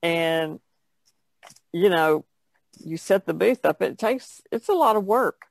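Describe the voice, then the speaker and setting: A woman's voice: on the low side, expressive, slow pace, creaky, no echo. A woman artist talking casually as part of a podcast panel. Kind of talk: casual chat.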